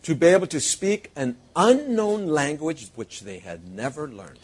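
Guy preaching in short bits, husky voice, pitch jumping all over, waits for the translator. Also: big pitch swings, measured pace, a little mellow. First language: English. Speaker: guest preacher. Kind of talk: sermon with live interpreter.

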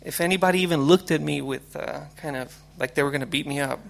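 Gravelly, halting man testifying. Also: a little high. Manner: halting, repeats words. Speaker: speaker giving testimony. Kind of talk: preaching and testimony through an interpreter.

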